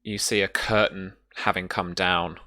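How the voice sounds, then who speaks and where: A man working as game master, narrating a tabletop horror roleplaying game in a halting way, repeating words as he describes scenes. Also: sits low, little pitch movement, pushed and bright.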